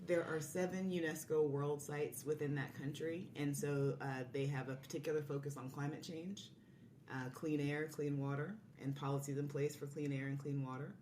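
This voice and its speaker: A low-voiced woman chats as a podcast guest, explaining things in a casual way. She sounds set back from the mic in a roomy space, with faint noise behind her. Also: fairly even intonation, moderate pace, warm tone, recorded from a distance. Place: indoor room, recorded in person.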